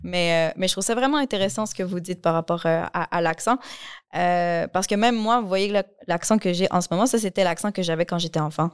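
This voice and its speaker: A woman's voice: fairly low pitch, a little fast, clean tone. A woman from Quebec with a Québécois accent, interviewing others and asking probing questions with frequent restarts and repeated words. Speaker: interviewer, discussion facilitator.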